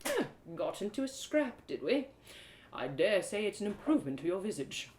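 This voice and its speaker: A woman's voice: medium pitch, big pitch swings, moderate pace, darkish tone, natural voice. A woman voicing a self-righteous male elf guard in a storybook, with formal, mocking speech. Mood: taunting. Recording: roomy echo.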